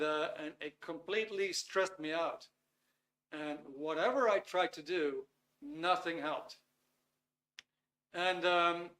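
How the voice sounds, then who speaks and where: A man's voice: on the high side, fairly bright tone. A man in his fifties with a Dutch accent, hosting a live webinar in English, talking at an easy pace and sometimes searching for words. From Netherlands. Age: 50s. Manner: unhurried, searching for words, many fillers. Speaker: webinar host.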